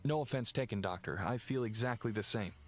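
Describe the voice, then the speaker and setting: An actor playing a courteous, earnest aristocratic heir in a video game, speaking in a formal way. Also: male voice, medium pitch, brisk, fairly bright tone, lightly acted. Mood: earnest, uneasy. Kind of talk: video game cutscene acting. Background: game music.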